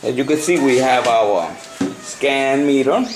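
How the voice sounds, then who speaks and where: A man giving an unscripted hands-on tutorial, talking haltingly and repeating himself as he works through the steps. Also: mid-range voice, lively intonation. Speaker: hobbyist presenter of a CB radio tutorial. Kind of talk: unscripted hands-on how-to demonstration. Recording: noisy amateur home video.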